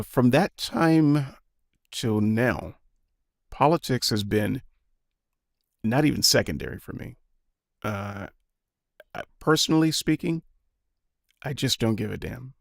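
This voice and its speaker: A man in his fifties talking casually and reflectively, pausing often with fillers and restarts. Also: on the low side, creaky, dry recording, studio quality. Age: 50s. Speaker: podcast guest. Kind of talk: casual opinion talk.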